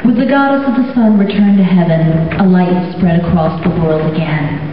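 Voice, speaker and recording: A woman's voice: lowish voice, music in the background. Woman storyteller in a big echoey hall. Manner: slow, deliberate, stretching out names. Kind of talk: live storytelling narration. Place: convention event hall with audience. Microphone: distant from the recording mic. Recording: very echoey hall, distant, muffled.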